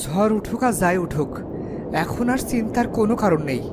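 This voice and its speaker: A woman's voice: mid-range voice, expressive, moderate pace, noisy background, lightly acted. A woman voicing a steadfast, protective village woodcutter father in a fairy-tale cartoon, speaking firmly. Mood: reassuring.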